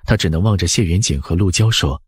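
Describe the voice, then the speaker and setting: 一位男性有声书播讲人，用富有表现力的方式照稿讲述故事。. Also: male voice, deep voice, fast talker, slightly rough, dry recording, clean studio sound. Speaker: audiobook narrator. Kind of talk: expressive scripted story narration.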